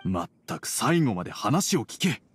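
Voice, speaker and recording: A man's voice: lowish voice, big pitch swings, normal speed, faint music behind. An actor playing a stern, reluctant middle-aged father in a video game. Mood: stern, hesitant. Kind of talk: Japanese video game voice acting.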